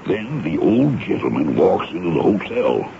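A folksy American sergeant in a radio play, with a rock-bottom, gravelly voice that swings widely in pitch. He is plainspoken and deferential, dutifully reporting to a superior. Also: big pitch swings, moderate pace, heavily acted. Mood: matter-of-fact, respectful. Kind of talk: radio drama acting. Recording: muffled, noisy old radio broadcast.

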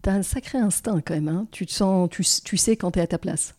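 A woman's voice: medium pitch, fairly quick, mellow. A woman hosting an interview podcast, asking her guest admiring questions. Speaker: podcast host.

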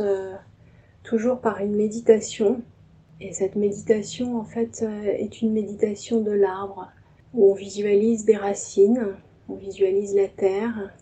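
A Parisian-born woman, the guest, calmly sharing personal reflections, soft-spoken and pausing often with fillers. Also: mid-range voice, poor audio. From France. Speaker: tea ceremony host and guest.

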